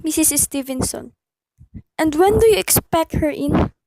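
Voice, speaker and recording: Woman acting a very high-voiced, anxious invalid wife. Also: expressive, unhurried, vocal fry, dark tone, a little hiss or hum, full character voice, low-quality recording. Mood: nervous, insistent. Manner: imperious, insistent, fretful. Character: anxious, demanding invalid wife, terrified and alone at home. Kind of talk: radio play acting.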